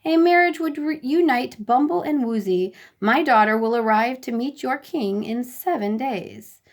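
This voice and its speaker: Proud old-world monarch for a storybook, voiced by a woman: loud, grand, emphatic delivery. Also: a little high, expressive, a little slow. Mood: pleased. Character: mighty, proud storybook king, a princess's father.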